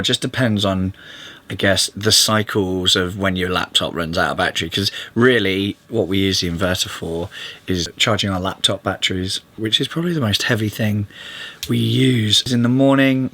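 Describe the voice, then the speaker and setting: Deep bright-toned man, hoarse. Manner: chatty, rambling with fillers. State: hoarse, lost voice. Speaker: vlogger. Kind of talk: casual vlog talk. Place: narrowboat.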